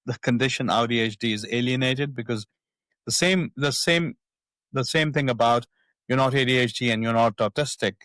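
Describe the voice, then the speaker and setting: A man's voice: mid-range voice, normal speed, fairly bright tone, no echo. A middle-aged man from northwest Pakistan with a Pakistani accent, a psychiatrist talking reflectively in an interview, often repeating words as he restarts. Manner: thoughtful, frequent repetitions and restarts. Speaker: psychiatrist guest.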